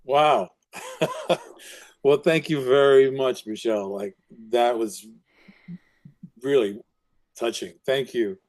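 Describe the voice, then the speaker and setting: A man in his seventies with a New York accent who grew up speaking Italian first, telling his life story as an interview guest in a reflective, unhurried way with frequent restarts. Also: mid-range voice, darkish tone. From Brooklyn, New York. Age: 70s.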